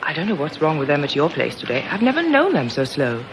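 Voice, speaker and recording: An actress playing a helpful, talkative shop assistant in a radio drama. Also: female voice, low-pitched, huge pitch swings, quick pace, noisy background, full character voice. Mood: brisk, friendly. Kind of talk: British radio drama acting.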